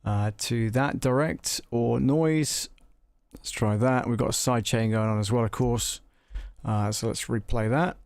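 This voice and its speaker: A man hosting a casual live audio software walkthrough, muttering to himself as he troubleshoots, with a gravelly, creaky voice. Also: medium pitch, steady tone, a little slow, dry recording. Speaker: livestream host demonstrating audio software.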